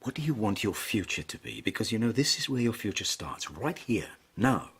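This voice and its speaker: A man acting in an audio drama as a stern, concerned schoolmaster, measured and probing as he questions a pupil. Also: fairly low pitch, expressive, a bit bright, breathy edge, exaggerated voice. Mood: concerned, urging.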